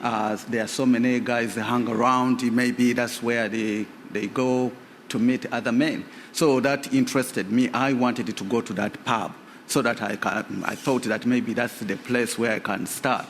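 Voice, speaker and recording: A man's voice: medium pitch, steady tone, a little slow, slight background noise. Hesitant man from Uganda telling his story. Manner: hesitant, with many fillers and restarts. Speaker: interview guest. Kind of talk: personal storytelling in interview. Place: radio theatre stage. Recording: reverberant live theatre.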